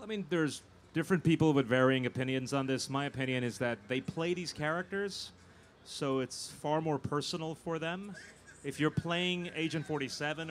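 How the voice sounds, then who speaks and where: A man speaking as a film producer guest in a live panel interview, pausing and repeating words as he thinks. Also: mid-range voice. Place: live convention stage. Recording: noisy live event room.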